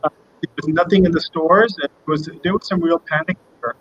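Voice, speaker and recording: A man's voice: on the high side, fairly even intonation, brisk, fairly bright tone, husky. A man answering interview questions as a company guest, speaking conversationally and emphatically, often repeating words. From United States. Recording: muffled online call with background noise.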